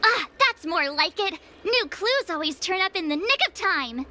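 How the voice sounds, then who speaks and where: A woman's voice: very high voice, animated, moderate pace, pushed and bright, slight background noise, full character voice. A woman playing a bubbly, cocky young girl detective in a video game, theatrical and eager in her delivery. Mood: excited.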